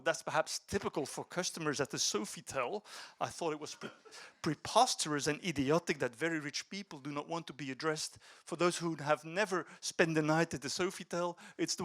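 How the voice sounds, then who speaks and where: A man's voice: a little high, fairly bright tone. A middle-aged man giving a talk to an audience, telling an anecdote and animatedly quoting dialogue before reflecting on it. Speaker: speaker at a youth debate.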